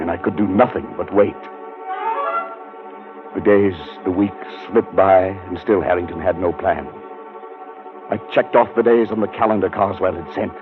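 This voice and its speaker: A male actor plays a dread-ridden man with a deep voice, recounting his own doom. His delivery is theatrical and deliberate, in the manner of old radio horror. The recording is dull and aged, with music behind it. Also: close to an everyday voice. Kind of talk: vintage radio horror acting, first-person narration.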